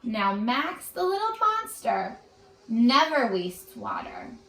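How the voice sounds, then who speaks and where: A woman reading a children's story aloud in an expressive, teacherly manner. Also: on the high side, takes it slowly, reverberant. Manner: expressive, asks the young listeners questions.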